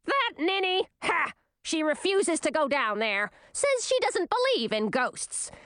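A woman voicing a shrill, scornful old queen with a screechy, contemptuous way of scolding. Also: sing-song, unhurried, a bit bright, no room sound, studio quality, exaggerated voice.

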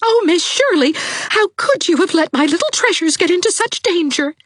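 A woman's voice: extremely high pitch, expressive, moderate pace, no echo, clean studio sound, pitched above the performer's own voice. Woman doing a tremulous overwrought mother. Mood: reproachful. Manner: tremulous, pleading. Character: fretful overwrought mother, pale and teary.

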